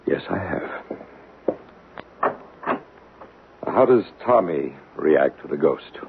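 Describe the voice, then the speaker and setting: An actor playing a calm, authoritative ghost investigator who explains things in a measured way, in a 1970s radio drama. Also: male voice, a bass voice, gravelly, noisy background, heavily acted. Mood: inquiring, assured. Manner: measured, explanatory, self-assured. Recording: tinny old radio broadcast.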